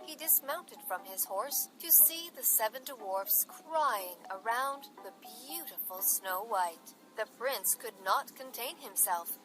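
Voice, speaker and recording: A woman's voice: on the high side, poor audio. A woman storyteller reading a scripted bedtime story for children. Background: music bed.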